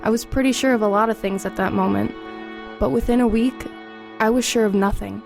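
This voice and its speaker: A woman's voice: on the high side, fairly even intonation, fairly quick, vocal fry. A woman playing a reflective teenage girl who narrates her own ghost story in a confiding way. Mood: reflective, ominous. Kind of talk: first-person dramatic narration. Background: music under the voice.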